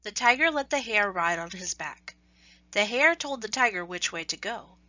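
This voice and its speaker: A woman storyteller with a bright, forward voice reads a children's tale expressively and deliberately. The recording is dry and close, though slightly dull in tone. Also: medium pitch, lively intonation, no room sound. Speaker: storyteller reading aloud. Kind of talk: scripted children's story narration.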